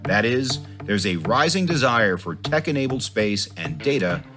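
A male podcast host with a polished, expressive delivery and a pitch that moves a lot as he speaks. Clear background music plays under his voice. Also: moderate pace. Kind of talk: scripted intro, then interview questions.

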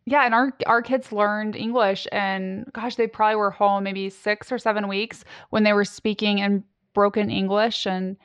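A middle-aged woman hosting a podcast, chatting in a relaxed way and asking open questions. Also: mid-range voice, normal speed, few pauses, clean tone, dry recording. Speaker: podcast host. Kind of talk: conversational interview.

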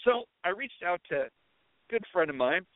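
A man's voice: high-pitched, very animated, moderate pace. Middle-aged male podcast host, chatty and meandering, thin phone-quality sound with no room echo. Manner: rambling, conversational storytelling. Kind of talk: casual monologue. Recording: tinny phone-like dry audio.

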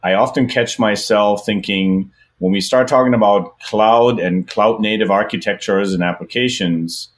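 Low-voiced male podcast host. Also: normal speed, few pauses. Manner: relaxed, thinks aloud at length. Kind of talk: podcast interview hosting.

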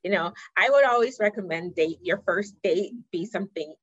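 A woman's voice: mid-range voice, normal speed, slightly rough, no echo, lo-fi sound. A woman from Ghana, a marketing director, chatting easily in an interview with lots of ums and you-knows. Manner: easygoing, many "um"s and "you know"s. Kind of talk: casual interview chat.

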